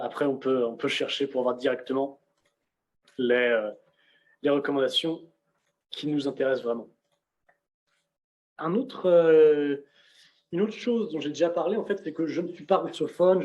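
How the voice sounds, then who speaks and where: A young man giving a casual on-screen software walkthrough, talking conversationally with frequent fillers and restarts, recorded on a computer mic. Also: fairly high pitch, normal speed, long pauses. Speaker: presenter of a software demonstration. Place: indoors at a computer.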